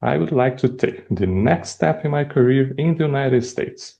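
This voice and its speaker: A young man from Brazil with a Brazilian Portuguese accent, speaking English as a second language, giving a prepared icebreaker speech with measured pauses. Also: mid-range voice, expressive, creaky, dark tone. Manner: measured, deliberate delivery with pauses. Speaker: first-time club speaker.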